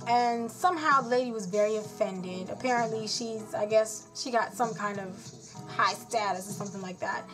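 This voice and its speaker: A woman's voice: mid-range voice, moderate pace. Blunt woman venting over music. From born in Haiti, raised in South Florida. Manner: blunt, frank, rambling. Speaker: nurse. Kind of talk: casual venting vlog.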